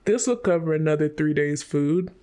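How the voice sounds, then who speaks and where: A man playing a destitute, resigned teenage devil hunter in a fan-made motion comic, with a flat, weary, blunt delivery. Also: fairly high pitch, moderate pace, close to an everyday voice. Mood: wistful, resigned.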